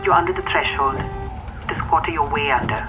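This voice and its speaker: An actress playing a brisk, exasperated office boss in a radio drama, curt and challenging. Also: female voice, low voice, lo-fi sound, music in the background, close to an everyday voice.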